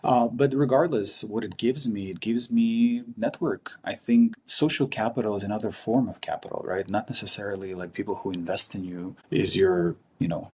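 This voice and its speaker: A man from Ukraine with an Eastern European accent, speaking earnestly and haltingly as an interview guest. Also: lowish voice, fairly even intonation, dark tone, a little hiss or hum. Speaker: podcast guest, investment fund partner. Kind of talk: casual interview talk.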